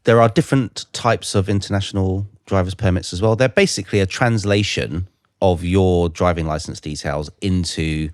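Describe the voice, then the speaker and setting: Deep-voiced British man, close and dry. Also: moderate pace, no room sound. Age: middle-aged. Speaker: podcast co-host. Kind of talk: casual podcast discussion.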